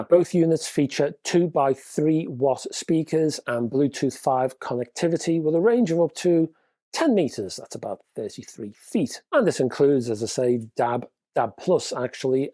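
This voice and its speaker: A man's voice: medium pitch, warm tone, no room sound. Man hosting a hi-fi news roundup. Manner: casual, chatty, with asides. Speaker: host.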